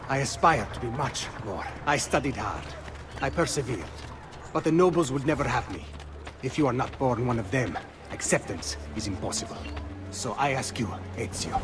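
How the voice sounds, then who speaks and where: An actor playing an earnest, idealistic Venetian thief leader with an Italian accent, speaking rhetorically in a video game. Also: male voice, mid-range voice, lightly acted. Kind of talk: dramatic video game acting.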